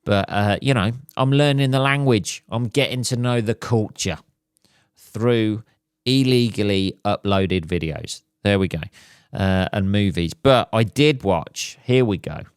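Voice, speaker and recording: Male host, slightly hoarse, crude and jokey solo ramble, dry and close. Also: on the low side, unhurried, no room sound. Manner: crude, swears a lot, jokey. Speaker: podcast host. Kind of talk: casual solo podcast rambling. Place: at home.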